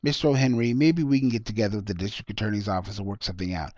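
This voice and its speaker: A man's voice: fairly low pitch, fairly even intonation, racing, darkish tone, no echo, dull audio, close to an everyday voice. A man playing a matter-of-fact police detective. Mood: businesslike. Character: businesslike male police detective, calm and procedural.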